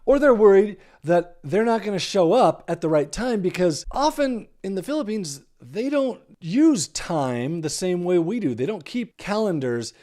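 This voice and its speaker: Animated American man giving podcast advice. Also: sits high, moderate pace, hardly any pauses. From United States. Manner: emphatic, repeats key phrases for stress. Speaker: podcast host. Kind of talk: conversational advice monologue.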